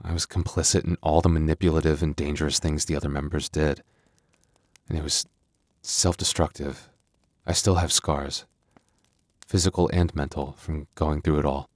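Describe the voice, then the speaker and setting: A man acts out a young werewolf boyfriend with an extremely deep voice in an intimate audio roleplay. He is gentle and earnest, hesitating and stumbling over his words as he opens up. The sound is dry, with hardly any room on it. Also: little pitch movement, normal speed, no echo. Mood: regretful, vulnerable. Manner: soft, earnest, hesitant, stammering.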